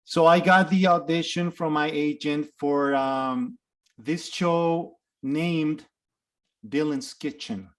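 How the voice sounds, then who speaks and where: A man's voice: on the high side, slow. A middle-aged man from Mexico with a Mexican Spanish accent, Spanish his first language, telling stories as a guest in a casual interview. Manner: animated storyteller with frequent restarts and laughs. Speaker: guest actor.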